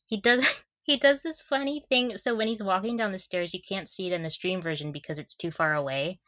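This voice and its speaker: A young woman with a bright, crisp-edged voice chats casually as a fan podcast co-host. Her talk is giggly and gushing, and the sound is dry, with no room echo. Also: medium pitch, a little fast.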